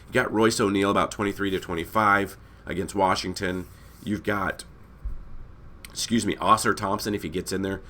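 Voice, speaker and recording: Man, hoarse voice fading out, lowish pitch, rambling and self-correcting, casual sports analyst host. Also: a bit bright. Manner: rambling, self-correcting, addresses viewers as guys. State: hoarse, losing his voice. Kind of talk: casual screen-share breakdown.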